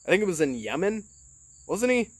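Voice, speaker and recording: A man's voice: sits high, very animated, fairly quick, dry recording. A man from Michigan in a casual, rambling monologue, recorded on his phone, swearing often and filling his talk with "like" and "you know". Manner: rambling, profane, heavy filler words. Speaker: podcast host.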